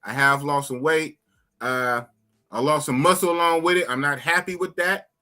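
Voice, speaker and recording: A man's voice: fairly high pitch, normal speed. A man in his fifties hosting a live podcast, chatting loosely with his viewers and repeating himself. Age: 50s. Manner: animated, talks to chat, repeats phrases. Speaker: podcast host. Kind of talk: casual live-stream chat.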